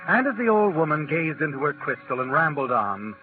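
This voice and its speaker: A sinister night-walking storyteller, dramatic and highly inflected. His voice is fairly high, on a tinny old radio recording with music behind. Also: very animated, brisk. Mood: knowing, suspenseful. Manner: dramatic, ominous. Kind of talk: 1940s radio mystery narration.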